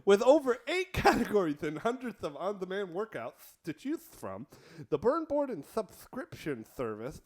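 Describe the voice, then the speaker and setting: A young man with a high voice that moves around a lot, clowning his way through a casual podcast chat. He throws in quick crude jokes and reads ad lines with playful asides. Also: wildly swooping pitch. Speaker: podcast co-host.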